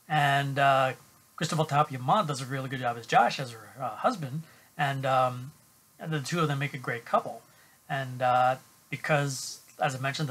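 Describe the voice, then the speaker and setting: A middle-aged man giving an unscripted film review to camera at home, halting with many fillers and restarts. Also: medium pitch, a bit bright. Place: small room at home, indoors.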